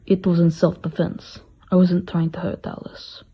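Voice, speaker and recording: A man's voice: high voice, flat delivery, moderate pace, breathy edge, heavily acted. A man playing a grave, stoic ex-military Russian butler, speaking tersely and formally in an amateur radio play on a muffled microphone. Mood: accusing, grave. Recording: muffled, dull microphone sound.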